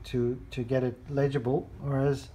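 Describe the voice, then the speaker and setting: A person giving a casual hands-on product demonstration in a measured, explanatory way. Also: mid-range voice, little pitch movement, dark tone, poor audio. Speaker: radio reviewer. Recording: clear background noise.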